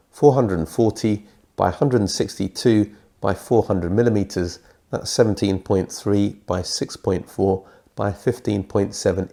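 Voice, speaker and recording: Man, British accent, middle-aged, congested and croaky, dark mellow tone, scripted review. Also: on the low side. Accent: British English. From Britain. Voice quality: thick, croaky. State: congested from a cold.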